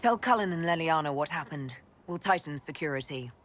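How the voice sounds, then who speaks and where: Calm, direct fantasy leader. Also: female voice, low voice, brisk, slight background noise. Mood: firm. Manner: direct, matter-of-fact. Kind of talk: video game dialogue.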